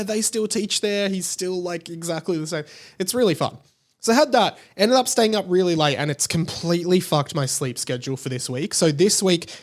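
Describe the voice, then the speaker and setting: A young man with an Australian accent talks as a podcast host in a voice pitched a little above average. He chats casually and informally, rambling along with a self-deprecating streak. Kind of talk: casual solo podcast chat.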